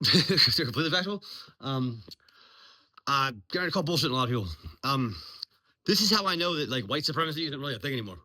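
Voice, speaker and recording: Guy almost 50, talks fast, edgy sharp voice, blunt n swears a lot, lots of ums. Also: mid-range voice, expressive. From Virginia, US. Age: 40s. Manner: blunt, profane, rambling with many ums. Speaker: military veteran vlogger. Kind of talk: unscripted monologue.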